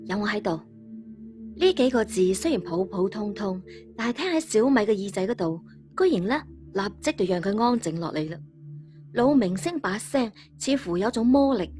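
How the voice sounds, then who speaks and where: A woman's voice: medium pitch, darkish tone. A woman, narrating a story dramatically as a storyteller.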